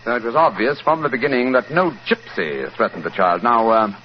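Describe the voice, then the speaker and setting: An actor playing an assured, urbane English gentleman detective with a British accent, in 1940s radio drama style. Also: mid-range voice, lively intonation, fairly quick, natural voice. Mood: assured, explaining. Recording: muffled, noisy 1940s radio recording.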